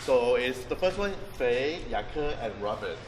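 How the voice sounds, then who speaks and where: Halting man guiding a tour. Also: medium pitch, expressive. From Vietnam. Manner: halting, many restarts and fillers, thinks aloud while explaining. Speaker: chef and tour guide. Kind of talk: informal guided-tour talk. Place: outdoors on a busy street near a market. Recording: distant, echoey street recording with traffic noise.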